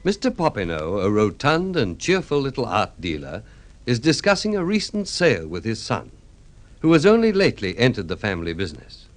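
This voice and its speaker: A man's voice: on the low side, wildly swooping pitch, fairly quick. A man narrating the scripted introduction to an old radio drama. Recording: vintage radio recording with music. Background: music.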